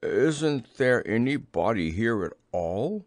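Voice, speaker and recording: A man's voice: mid-range voice, sing-song, takes it slowly, no room sound. A man voicing a simple, friendly toy bear in a storybook, ponderous and earnest. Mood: puzzled, earnest.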